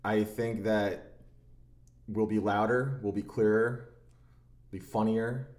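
A man co-hosting a podcast in casual chat, laid-back and a little halting, recorded in a roomy, echoey space. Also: lowish voice, fairly even intonation, a little mellow. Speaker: podcast co-host. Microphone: own podcast microphone.

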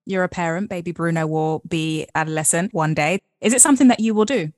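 Brief: lively female podcast host, somewhat low voice, close dry recording. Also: fast, no room sound. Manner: upbeat, playful, scripted-sounding intros. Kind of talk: hosting a tech-career podcast interview.

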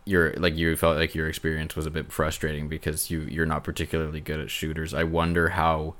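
Very deep-voiced man in casual chat. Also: completely flat delivery, a bit bright. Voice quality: bassy voice. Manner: halting, with many restarts and fillers. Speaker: podcast co-host.

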